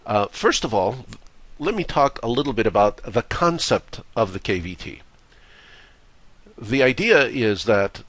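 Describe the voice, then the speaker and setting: A hoarse, congested man delivers a slide lecture on trading in a slightly low voice. He speaks in a measured way and leans on "uh" between phrases. The recording is close and dry, with no room sound. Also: fairly bright tone, dry recording. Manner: measured, with frequent "uh" fillers. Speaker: trading course instructor.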